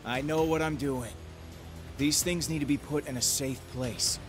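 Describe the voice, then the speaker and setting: An actor playing a cocky, earnest young space-hero leader in a video game, with emphatic delivery. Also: male voice, a little high, steady tone, measured pace, over music, natural voice. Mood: defensive. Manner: emphatic, speechifying. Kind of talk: video game cutscene acting.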